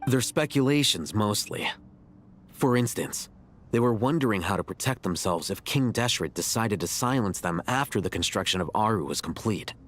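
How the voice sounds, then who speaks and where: An actor playing a cool, rational scholar in a video game, blunt, deadpan and matter-of-fact. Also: male voice, on the low side, normal speed, soft background music. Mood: explanatory. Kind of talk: video game dialogue acting.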